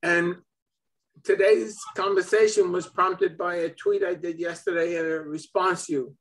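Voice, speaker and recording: A man's voice: sits high, steady tone, measured pace, a bit bright, low-quality recording. A man in his seventies from Brooklyn, chatting casually on a video call from his home office and chuckling often. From Brooklyn, New York. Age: 70s. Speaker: host.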